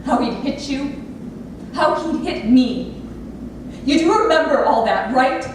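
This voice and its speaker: A woman's voice: high voice, animated, slow. An actress playing an earnest, intense grown daughter of an abusive father in a staged play reading. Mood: pleading, quiet. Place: theatre lab room with an audience area. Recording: very echoey room, distant mic, noisy.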